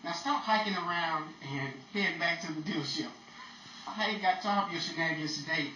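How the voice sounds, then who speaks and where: Man acting exasperated radio straight man, sharp-voiced. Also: fairly high pitch, brisk. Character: Henry, exasperated male radio star, bossy straight man. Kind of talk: old time radio style comedy acting. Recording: roomy echo, distant mic, faint noise.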